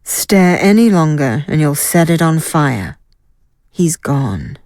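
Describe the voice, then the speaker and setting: Tough female sword fighter, played by a woman, very low voice, blunt, sardonic, dry close sound. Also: sing-song, slow, a little mellow, no echo, full character voice. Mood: wry.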